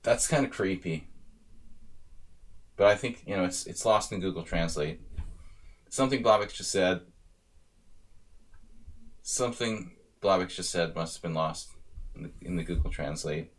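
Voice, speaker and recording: A man's voice: low voice, measured pace, long pauses. A man in his thirties casually commenting on his chess games on a live stream, joking with chat as he thinks aloud about moves. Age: 30s.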